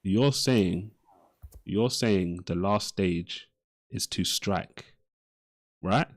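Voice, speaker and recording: A man's voice: low voice, extremely slow. A man arguing in an unscripted live debate as its host, insistently repeating and restarting his phrases.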